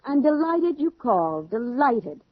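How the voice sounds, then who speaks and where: A woman's voice: high voice, sing-song, a little slow, no room sound, full character voice. A woman playing a forthright young girlfriend in a radio drama, direct and challenging in her delivery. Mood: indignant. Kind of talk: 1970s radio drama acting. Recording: muffled old radio drama recording.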